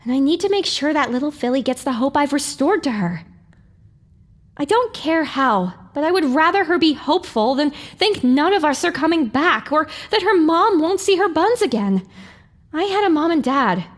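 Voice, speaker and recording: A woman's voice: sits high, very animated, normal speed. A woman playing a headstrong, defiant young pony heroine in an audio drama. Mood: determined.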